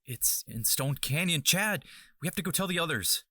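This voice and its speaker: A man's voice: a little high, animated, moderate pace, sharp tone, no room sound, clean studio sound. A man voicing a young hero who talks hesitantly and anxiously. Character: young male hero, worried and gentle.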